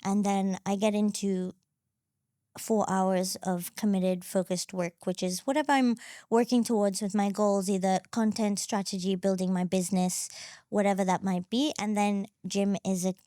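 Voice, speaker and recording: A woman's voice: mid-range voice, fairly even intonation, moderate pace, mellow, dry recording. Reflective woman guest, dry close sound. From Zambia. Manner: reflective, thinking aloud with restarts. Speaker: guest, entrepreneur coach. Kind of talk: conversational interview.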